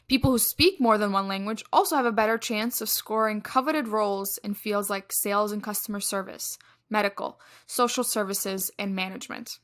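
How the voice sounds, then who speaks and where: A young woman co-hosting a casual podcast, her voice bright and forceful. She tells stories in an animated way and often restarts her sentences with fillers. She grew up in Egypt. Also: mid-range voice, normal speed. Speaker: podcast co-host.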